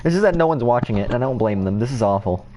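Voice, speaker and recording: A man's voice: medium pitch, expressive, racing, mellow. A man hosting a stream in casual voice chat, bossy and teasing with his friends.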